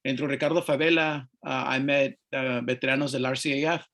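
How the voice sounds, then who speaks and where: A middle-aged man from Mexico, speaking on an art panel and telling his story in a halting way, with many fillers and restarts. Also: mid-range voice, level tone, a bit bright. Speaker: panelist, artist. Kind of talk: panel discussion, personal story.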